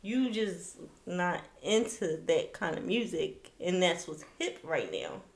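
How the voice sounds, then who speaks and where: A woman on a podcast banters in a playful, teasing way, her voice on the lower side for a woman. The room is echoey around her. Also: big pitch swings, slow, creaky. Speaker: podcast co-host. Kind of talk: casual chat.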